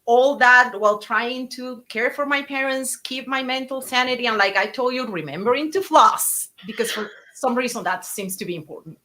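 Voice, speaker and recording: A woman's voice: fairly high pitch, moderate pace. A woman from Cuba with a Cuban Spanish accent, chatting casually on a podcast as a guest and telling stories with many fillers and restarts. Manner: animated, storytelling, frequent fillers and restarts. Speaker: guest speaker.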